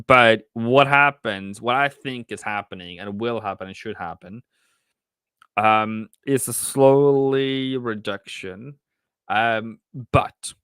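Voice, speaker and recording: A man with a Norwegian accent hosts a live trading stream in a lowish, smooth, clear voice. He thinks aloud, circling back and restarting sentences with plenty of fillers. The sound is close and dry, with no room around it. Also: a bit bright, no room sound. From Norway. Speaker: trading livestream host. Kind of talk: casual livestream commentary.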